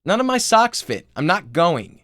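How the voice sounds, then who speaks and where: A man voicing a bratty, defiant seven-year-old girl in a shrill, whiny screenplay reading. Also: sing-song, normal speed, a bit bright, dry recording, clean studio sound. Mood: shrieking, indignant. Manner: whiny, complaining.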